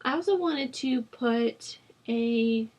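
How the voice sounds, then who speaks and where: A young woman host rambling casually over a game build, her voice rough from a sore throat, with lots of fillers. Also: on the high side, slow, a little mellow. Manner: rambling, chatty, with frequent fillers. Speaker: Sims builder channel host. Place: at home.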